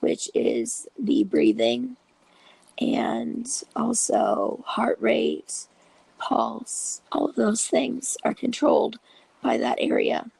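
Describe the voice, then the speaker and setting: A host with a cold and a raspy, breathy voice, explaining a topic slowly and patiently in a casual vlog, often dropping into half-whispers. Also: mid-range voice, very animated, a little mellow, no echo, low-quality recording. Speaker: video host and patient support group leader.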